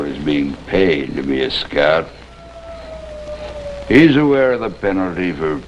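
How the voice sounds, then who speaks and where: An actor playing a bitter, ruthless crippled army captain in a 1950s TV western, gravelly, sardonic and commanding. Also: male voice, deep voice, highs cut off, a little hiss or hum, full character voice. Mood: taunting. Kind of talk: 1950s television western acting.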